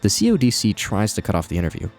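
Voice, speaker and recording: A man with a low voice narrates a video essay as its host. His commentary is conversational and animated, with wry asides, over music. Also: very fast.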